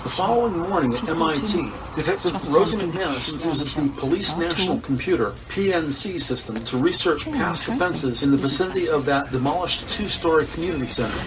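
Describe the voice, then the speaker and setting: A man narrating a scripted crime audio drama in the present tense with deliberate, descriptive delivery. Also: on the high side, expressive, a loud crowd. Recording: distant voice over loud background noise.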